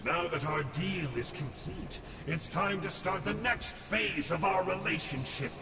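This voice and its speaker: Casting: high, gravelly voice for a glib Abyss schemer, distant hall echo in a noisy game capture. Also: male voice, measured pace. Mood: mocking. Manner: glib, mocking, showy. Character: sly theatrical male Abyss scholar.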